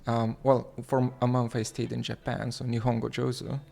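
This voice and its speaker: Gravelly-voiced man chatting on a livestream. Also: medium pitch, completely flat delivery, a little mellow. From Lithuania. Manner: halting, many fillers and restarts, reads chat aloud. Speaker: livestream host. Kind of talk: casual livestream chat.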